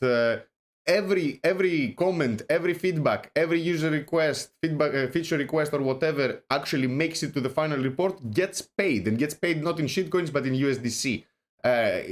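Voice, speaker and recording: A man with a Greek accent hosting a casual crypto livestream, rambling with many fillers and restarts, joking now and then. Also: medium pitch. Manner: joking, self-deprecating. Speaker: host.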